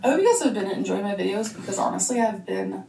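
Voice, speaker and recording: A woman rambles cheerfully to her viewers in a casual vlog. Her voice has a gravelly creak. The room echoes around her. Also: medium pitch, lively intonation, quick pace, mellow, a little hiss or hum. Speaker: vlogger.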